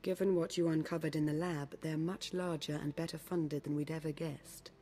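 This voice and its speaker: Poised, low-toned Sith Lord woman. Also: steady tone, normal speed, dark tone. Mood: grave, explaining. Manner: formal, composed, courteous. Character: poised, shrewd female Sith Lord intelligence agent. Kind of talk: video game cutscene acting.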